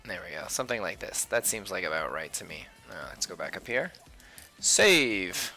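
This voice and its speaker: A man's voice: low voice, very animated, moderate pace, bright, forceful tone, soft background music. A man hosting a casual drawing livestream, chatting playfully with viewers and talking to himself as he works. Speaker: livestream host, sketch artist.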